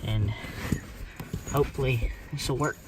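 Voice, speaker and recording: A plainspoken, folksy man giving a casual hands-on tutorial, talking hesitantly in short phrases with pauses. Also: medium pitch, steady tone. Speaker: how-to video presenter. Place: inside a parked car. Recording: noisy amateur recording with clicks.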